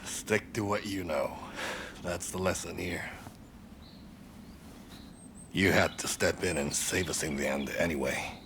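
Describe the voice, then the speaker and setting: An actor playing a gruff, teasing, laid-back warrior comrade in a video game, with a gravelly voice and a familiar manner. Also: male voice, a little slow, pauses often, background noise. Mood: teasing, wry. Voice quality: low, gravelly. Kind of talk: video game cutscene acting.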